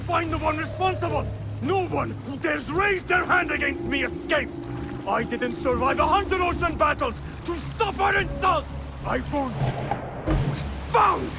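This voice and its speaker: Casting: blustering admiral, high gravelly shouting, slow and heavy, game score loud behind. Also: male voice, very noisy, full character voice. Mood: furious. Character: boastful, raging naval admiral, middle-aged man. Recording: game audio over loud music.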